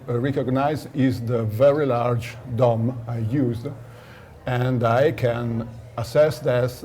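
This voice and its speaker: Mellow man, halting explainer. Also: medium pitch. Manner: halting, searching for words, didactic. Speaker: loudspeaker designer being interviewed. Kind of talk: technical explanation in an interview. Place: audio trade show in Milan. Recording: noisy trade show interview, background ambience.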